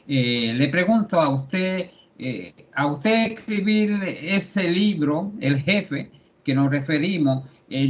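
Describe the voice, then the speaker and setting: A man interviewing a guest, feeling his way through questions in hesitant, halting phrases, on a dull recording. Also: on the high side. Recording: dull, lo-fi sound.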